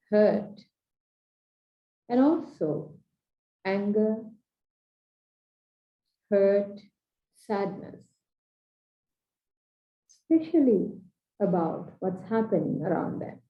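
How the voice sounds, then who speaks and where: A woman from Sri Lanka with a Sri Lankan English accent, giving an earnest, measured coaching talk in short, paused phrases. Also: medium pitch, animated. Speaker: transformational coach. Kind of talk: slow reflective training talk. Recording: dull echoey room recording.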